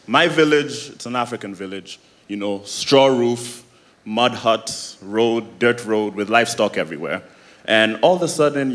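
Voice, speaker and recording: A man from Sierra Leone emceeing a church conference, upbeat and addressing the audience directly. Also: on the low side.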